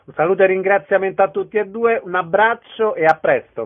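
Male radio presenter, slightly high voice, announcing events and putting questions to guests, thin phone-like sound. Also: brisk. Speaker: radio host.

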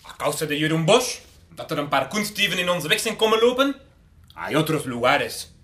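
A suspicious, commanding radio-drama plotter. His voice is fairly high and forceful, impatient and insistent. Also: sing-song, brisk. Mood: angry, pushing. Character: tense, domineering male Spanish-speaking conspirator, impatient and suspicious. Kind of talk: radio drama acting, switching between Spanish and Dutch.

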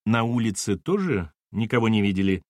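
A man's voice: fairly low pitch, lively intonation, brisk, a little mellow, no room sound, lightly acted. A man voicing a dogged husband in an audiobook, questioning people bluntly with dry irony. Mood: insistent. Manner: blunt, insistent, dryly ironic.

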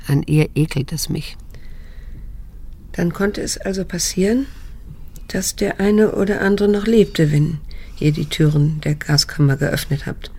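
An actress in a radio drama playing a middle-aged daughter, restrained and quietly repelled, measured and insistent as she questions and narrates, in a husky voice. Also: low voice, heavily acted. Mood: inward, repelled, then insistent, cool.

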